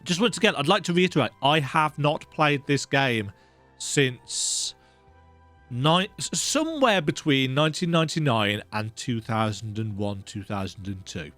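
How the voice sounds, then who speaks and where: A man's voice: medium pitch, a little slow, sharp tone. A man giving casual, chatty, self-deprecating commentary as host of a let's play, speaking close to the mic. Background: video game music.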